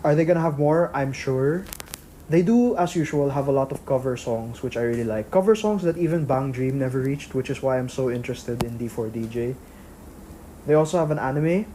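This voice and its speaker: Man, casual podcast co-host, rambling, average pitch, faint noise behind. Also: brisk, warm tone, poor audio. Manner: chatty, rambling with fillers. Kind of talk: casual chat.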